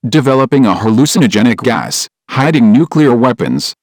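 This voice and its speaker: A man's voice: mid-range voice, expressive, moderate pace, no room sound, lightly acted. Man playing a serious military analyst, dry sound. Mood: serious, analytical. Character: serious male former military commander turned news analyst. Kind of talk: scripted interview commentary.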